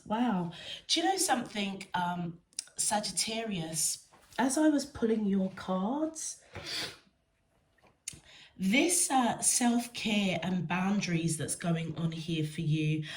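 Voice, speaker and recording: A woman reads tarot cards with a stuffy, cold-thickened voice at a middling pitch. She speaks earnestly and directly to her listener, drifting and pausing often as she searches for words. A faint hiss sits under the recording. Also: rough recording. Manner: gentle, meandering, with frequent hesitations. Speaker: tarot reader. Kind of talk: weekly tarot card reading.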